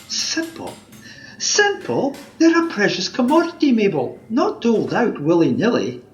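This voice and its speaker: An actor playing a fussy, officious wish-granter with a pedantic, chatty manner in a radio play. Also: male voice, high voice, wildly swooping pitch, a little slow, full character voice. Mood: affronted. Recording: muffled home recording, background noise.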